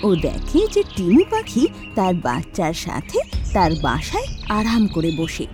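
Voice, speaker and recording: A woman narrating a children's cartoon story in an expressive storytelling way. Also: on the low side, measured pace. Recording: children's music behind.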